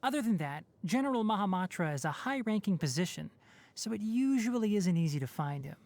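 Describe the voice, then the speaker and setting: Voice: mid-range voice, expressive, brisk, darkish tone, no echo, natural voice. An actor voicing a young male fox-eared forest ranger in a video game, articulate and scholarly with a dry, wry delivery. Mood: earnest, advising. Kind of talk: scripted game character voice lines.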